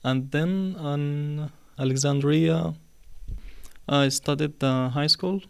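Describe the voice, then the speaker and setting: A man in his twenties from Syria, answering interview questions in a casual, hesitant way with many pauses and fillers. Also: on the high side, vocal fry, clean tone. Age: 20s. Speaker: podcast guest.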